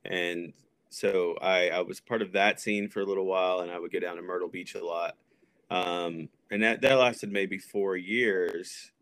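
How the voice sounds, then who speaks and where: American male podcast guest, thirties, deep voice with a bright edge, dry close sound, casual and hesitant. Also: steady tone, a little slow, clear, resonant tone, no room sound. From Texas, United States. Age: 30s. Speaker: podcast guest, punk music promoter. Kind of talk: casual chat.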